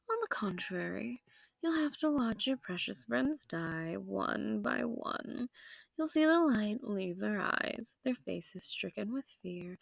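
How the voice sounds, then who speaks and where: A woman playing a centuries-old, sadistic and possessive female vampire in an intimate audio roleplay, silky and taunting, slipping into breathy whispers. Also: medium pitch, no room sound, exaggerated voice. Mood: menacing. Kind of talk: intimate scripted audio roleplay addressed to the listener.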